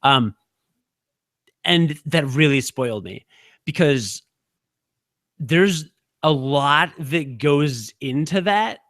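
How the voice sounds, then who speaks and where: A man in his thirties co-hosting a podcast, chatting casually in a quick, halting way full of fillers. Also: on the high side, animated, fairly bright tone, no room sound, clean studio sound. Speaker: podcast co-host. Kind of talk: casual chat.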